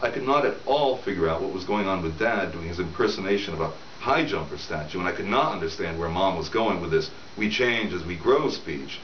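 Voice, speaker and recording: A man's voice: low-pitched, animated, brisk, few pauses. A middle-aged man reading his novel aloud in a conversational storytelling way, as the author, in a room at home. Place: small room at home, indoors. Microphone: camera several feet away. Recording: roomy, distant camera mic, dull with hiss.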